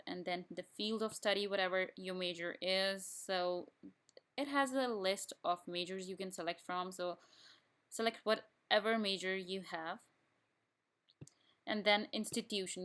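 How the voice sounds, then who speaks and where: A young woman, a student from Pakistan whose first language is Urdu, talks the listener through filling in an online form. Her pitch sits in a middle range. She explains each field in plain, step-by-step instructions and often restarts her sentences. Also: steady tone, moderate pace, fairly bright tone. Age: young adult. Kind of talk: screen-recorded tutorial walkthrough.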